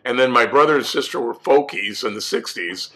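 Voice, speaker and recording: A male musician from California, hoarse from laryngitis, telling stories in a rambling, relaxed way with many fillers. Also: medium pitch, a little fast, rough recording. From California, USA. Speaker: musician guest. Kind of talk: storytelling interview answers.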